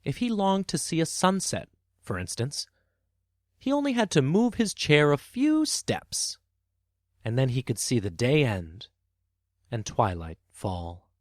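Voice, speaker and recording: A man narrating a children's tale as a podcast storyteller, with expressive, measured and dramatic phrasing. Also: mid-range voice, no room sound, clean studio sound.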